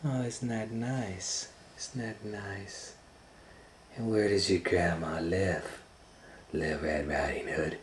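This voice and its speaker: A man voicing a sly, hungry old storybook wolf who mutters slowly in falsely sweet half-whispers. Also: low voice, fairly even intonation, echoey room, slight background noise. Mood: sly, wheedling.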